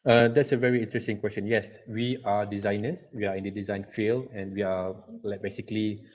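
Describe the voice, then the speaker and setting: A man's voice: lowish voice, fairly even intonation, brisk, slight background noise. A Malaysian-accented man, a university lecturer, answering questions in an online lecture in an explanatory way, often repeating phrases for emphasis. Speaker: university lecturer and design researcher. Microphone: video call. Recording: dull lo-fi online call audio.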